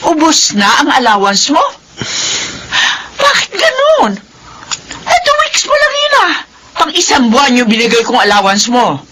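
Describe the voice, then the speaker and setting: A woman acting in a classic radio drama, speaking in lively, chiding tones. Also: sits high, measured pace, noisy background. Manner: lively, chiding mother and older-sister tones.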